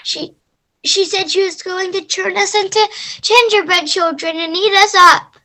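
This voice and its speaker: A woman's voice: extremely high pitch, steady tone, a little slow, pushed and bright, heavily acted. A woman playing a defensive, fibbing young girl who protests insistently in a readers theater play. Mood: indignant, dramatic.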